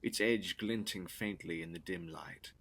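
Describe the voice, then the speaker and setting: A man narrating a gothic audio drama from a script in a solemn, measured, dramatic way. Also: low-pitched, a bit bright, breathy edge.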